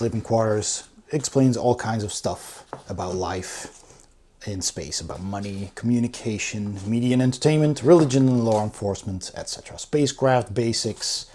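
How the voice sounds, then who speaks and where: A man's voice: mid-range voice, darkish tone. A man giving a casual, unscripted review as host, with an informal, enthusiastic way of talking. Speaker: board game reviewer, host.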